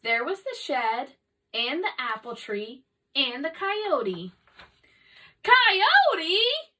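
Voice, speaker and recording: A woman reads a children's story aloud in a high, bright voice. She takes it slowly and clearly shapes each line for young listeners. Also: very animated, distant mic. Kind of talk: animated children's read-aloud narration.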